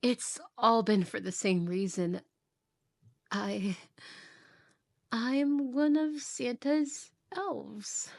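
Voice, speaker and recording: A woman acts out a cheerful female Christmas elf living undercover as a roommate. She speaks very slowly in a slightly high voice, chatty and a little hesitant, in an intimate ASMR roleplay style. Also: creaky, a little mellow, exaggerated voice. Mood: sheepish, awkward. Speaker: roleplay voice actress.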